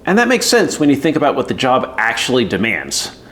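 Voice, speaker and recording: A man's voice: medium pitch, wildly swooping pitch, fairly quick, fairly bright tone. A middle-aged man from the United States, an air traffic controller giving casual, candid career advice with lots of fillers. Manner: conversational, acts out quoted voices, frequent fillers. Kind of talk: casual explainer video.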